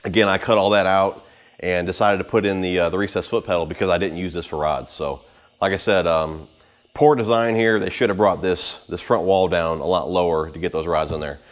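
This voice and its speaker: A man in his thirties giving a casual, unscripted walkthrough to camera in an informal, rambling way, with pauses as he handles gear. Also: sits low, steady tone. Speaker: vlogger giving a boat tour. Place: home garage with the door open.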